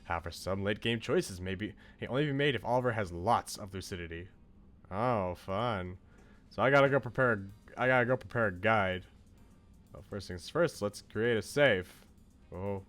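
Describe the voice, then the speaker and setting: A man's voice: on the low side, moderate pace, fairly bright tone. A man streaming a game casually, chatting and reading on-screen text aloud. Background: faint game music.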